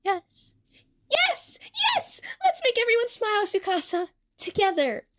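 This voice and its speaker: A woman's voice: very high-pitched, moderate pace, voice pushed up. Woman putting on a squealing, bubbly teenage girl. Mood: overjoyed. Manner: squealing, exclamatory, eager. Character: excitable, sweet, bubbly teenage girl performer.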